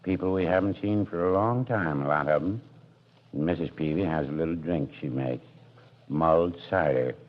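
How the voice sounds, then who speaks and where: An actor playing a meek, gentle married shopkeeper who talks slowly and hesitantly in a 1940s radio comedy. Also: male voice, extremely low pitch. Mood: mild. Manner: slow, hesitant, soft-spoken, drawn-out. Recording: muffled noisy vintage radio broadcast.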